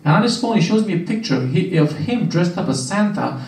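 A young man from Romania doing a stand-up set on a small club stage with a handheld mic, delivering deadpan punchlines and chatting with the crowd. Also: high-pitched, quick pace, vocal fry. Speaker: stand-up comedian. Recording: roomy club sound, camera far off. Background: audience laughter.

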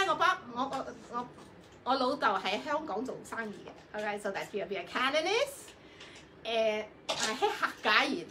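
A woman with a high, bright voice hosts a live shopping show. She chats effusively and coaxes her audience along, with some noise in the recording. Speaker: host of a fashion live show. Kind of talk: live sales presenting, chatty.

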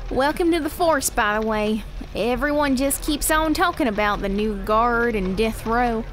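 A woman's voice: a little high, normal speed, natural voice. A woman playing a chirpy, chatty prison officer in an audio drama, with a folksy, quippy manner. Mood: cheerful, brisk. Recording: music under the voice.

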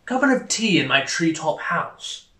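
A man voicing a genteel, hungry storybook owl, inviting, drawn-out and easily startled. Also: on the high side, very animated, pushed and bright, echoey room, exaggerated voice.

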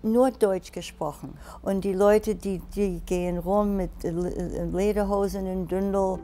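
An older woman from New York with an American accent, speaking halting German with pauses and restarts. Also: mid-range voice, steady tone, mellow, faint music behind. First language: English. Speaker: interviewee.

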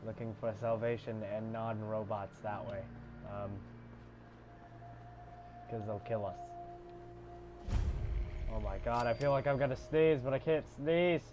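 A young man with a congested voice giving casual, swearing commentary while playing a game, mostly low and mumbled with sudden loud outbursts. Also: fairly even intonation, unhurried, long pauses, music in the background. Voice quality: congested, stuffy. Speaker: gamer playing a shooter game.

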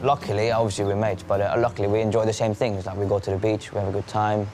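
A young man from Norway, a jockey, giving relaxed, measured interview answers. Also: on the low side, faint music behind.

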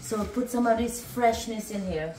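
A woman's voice: fairly high pitch, normal speed, dark tone, roomy sound, soft background music. A middle-aged woman chatting casually as a food vlog host, often repeating herself as she exclaims over the food. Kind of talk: casual cooking vlog chat. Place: home kitchen, indoors.

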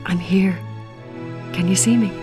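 A woman's voice: medium pitch, steady tone, measured pace, close to an everyday voice. An actress playing a wistful woman who is putting on a brave front, in an audio drama. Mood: wistful, tender. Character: wistful woman from a man's past, brave but sad. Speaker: actor. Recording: music under the voice.

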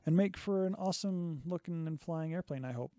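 A man in his thirties, slightly hoarse, co-hosting a casual hobby podcast and explaining projects step by step. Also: on the high side, a little fast, mellow, no room sound. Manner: chatty, explains step by step with restarts. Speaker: podcast co-host. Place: small home workshop indoors. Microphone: studio mic on boom arm, close. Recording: dry close podcast mic.

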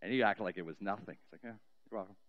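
A middle-aged man preaching as a pastor in a church sanctuary, telling a story earnestly and slowing down with soft, trailing endings. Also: lowish voice, dull audio. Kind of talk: sermon storytelling and spoken prayer. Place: large church sanctuary, indoors. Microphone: cheek headset mic.

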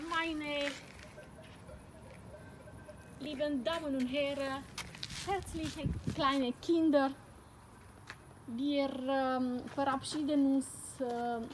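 Middle-aged non-native woman, high unhurried voice, hesitant tour guide, noisy outdoor phone clip. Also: steady tone. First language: not German. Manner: halting, many fillers and self-corrections, giggles. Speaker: school director giving a tour. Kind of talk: improvised walking presentation. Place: outdoors on a school playground at dusk.

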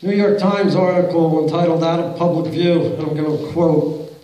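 Middle-aged man, higher than usual voice, public commenter at a podium, confrontational, booming echo of a large hall. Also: fairly even intonation, normal speed, warm tone. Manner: pointed, confrontational questioning. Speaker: public commenter at a council meeting. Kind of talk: public comment, reading quotes aloud. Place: large council chamber, indoors. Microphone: podium mic, recorded by a far camera in the audience. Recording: very echoey hall from far off.

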